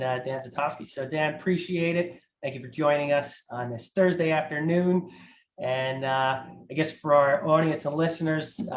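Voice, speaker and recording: A podcast host, a man with a slightly high voice, opens the show in a relaxed, upbeat way. His voice rings in an echoey room, with a faint hiss of noise behind it. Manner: relaxed, upbeat, filler-heavy. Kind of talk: casual podcast introduction.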